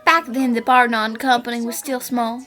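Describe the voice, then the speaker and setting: A woman playing an earnest, devoted young adult daughter, speaking sincerely and gently in an animated drama fan dub. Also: on the high side, moderate pace, soft background music. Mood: tender, grateful.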